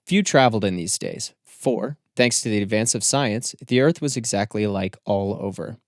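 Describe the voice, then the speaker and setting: A man narrates a literary audiobook in a fairly low voice. His phrasing is measured and deliberate. The sound is dry, with no room echo.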